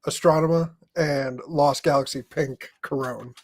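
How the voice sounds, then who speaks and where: A man hosting a casual fan podcast, speaking informally and a little haltingly. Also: on the high side, steady tone, darkish tone. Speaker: podcast host.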